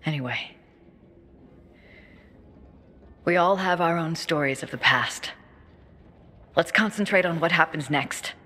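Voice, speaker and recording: A woman's voice: deep voice, normal speed, pushed and bright. An actress playing a determined, grieving woman survivor in a video game cutscene, with earnest, emotive, naturalistic delivery. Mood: subdued, resolute. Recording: music behind the voice.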